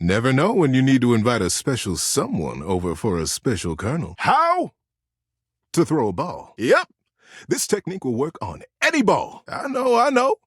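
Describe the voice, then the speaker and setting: A man voicing a lovable old teddy bear in a video game, a gentle, encouraging buddy with a folksy, playful, earnest way of giving advice and a husky voice. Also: on the high side, no room sound, studio quality, exaggerated voice. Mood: cheerful, instructive. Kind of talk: video game character monologue, storytelling advice.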